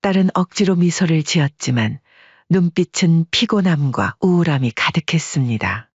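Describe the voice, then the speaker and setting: A steady narrator tells a family drama in a calm, even way. The voice is husky and mid-pitched. The recording is dry and a bit dull. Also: normal speed, no echo. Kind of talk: scripted storytelling narration.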